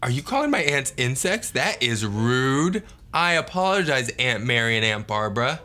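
A man's voice: medium pitch, sing-song, normal speed, pushed and bright. A man in his thirties, a children's read-aloud host, trading comic banter in a theatrical, playfully obtuse way. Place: small indoor studio set.

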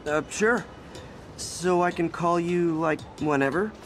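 Awkward young american superhero guy, kind, unsure of himself, lightish higher voice, game cutscene with music. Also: takes it slowly, fairly bright tone. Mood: friendly, hesitant. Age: young adult. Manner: hesitant, boyish, self-effacing. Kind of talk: cinematic video game acting. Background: background music.

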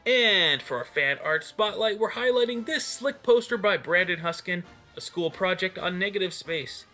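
Male fan-news host, upbeat and keen, somewhat high, crisp-edged bright delivery over music. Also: normal speed. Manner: enthusiastic. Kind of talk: scripted news roundup narration. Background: music behind the voice.

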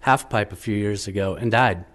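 A middle-aged business owner answering questions at a public meeting, defensive and quick to argue with figures. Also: male voice, on the low side, a bit bright. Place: town council meeting room, indoors. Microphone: desk microphone.

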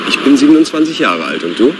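A man plays a young flat hunter who talks politely and hesitantly, with little fillers. His voice moves lively up and down. Steady street noise runs behind him. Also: medium pitch, quick pace, natural voice. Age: 20s.

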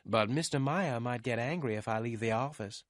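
A man voicing a friendly, cautious young female secretary with a soft, informal manner, in an audiobook crime story. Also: medium pitch, normal speed, dry recording, lightly acted. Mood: hesitant.

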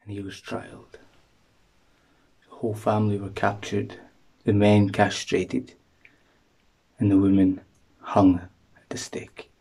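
A young man vlogging by a rocky shore and in a sea cave, talking into a handheld selfie camera, shifting between dramatic hushed storytelling and loud exclamations. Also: on the low side, completely flat delivery, slow pace, vocal fry, long pauses, husky, poor audio. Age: young adult. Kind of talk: excitable travel vlog.

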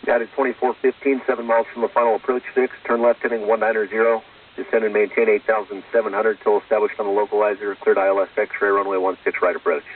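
Flat, fast male air traffic controller on radio. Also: fairly low pitch. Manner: procedural, clipped phrasing. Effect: aviation radio. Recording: muffled, phone-like air traffic radio, distant.

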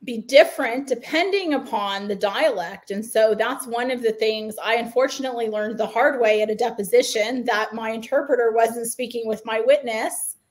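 Higher-voiced woman lawyer co-host. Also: normal speed, hardly any pauses. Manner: conversational, shares experience, agreeing "right". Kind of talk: video call discussion. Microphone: video call.

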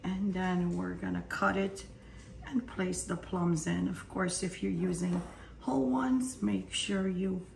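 A woman with a hoarse, partly lost voice, half whispering as she calmly talks through a cooking demonstration in her home kitchen. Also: on the low side, slow pace, roomy sound, slight background noise. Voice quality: whispery, breathy. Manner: calm step-by-step instructions. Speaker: home cook.

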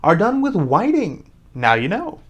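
Man as a brusque, high-pitched, bossy Gryphon. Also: wildly swooping pitch, a little slow, husky, lo-fi sound, heavily acted. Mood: impatient. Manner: emphatic, exclamatory delivery. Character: brusque, impatient Gryphon, bossy mythical beast. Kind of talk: storybook character voice.

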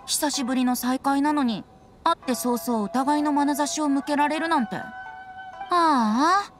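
A carefree teenage-sounding bard boy from an anime game. The voice is fairly high, with a teasing, theatrical lilt. Music plays clearly behind it. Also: a little slow. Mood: mock hurt. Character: playful, carefree young male bard. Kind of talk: Japanese anime game voice acting.

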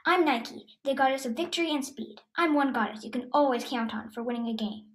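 A young girl playing a proud winged Greek goddess of victory, reciting a rehearsed school monologue in a measured way. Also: high voice, lightly acted. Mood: proud, presenting. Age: child or young teen student. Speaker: student performer. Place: indoors before a dark cloth backdrop.

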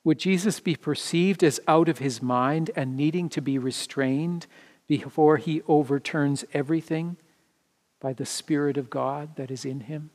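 Higher-voiced pastor reading a sermon. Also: male voice, a little slow, darkish tone. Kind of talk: sermon read from a script. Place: indoor church sanctuary, standing before the altar.

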